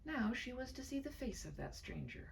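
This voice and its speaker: Female narrator for romantic fiction: very animated pitch, some gravel, tender hushed delivery with dramatic pauses. Slightly distant, faintly noisy recording. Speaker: storyteller reading her own short story. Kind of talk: expressive dramatic story narration.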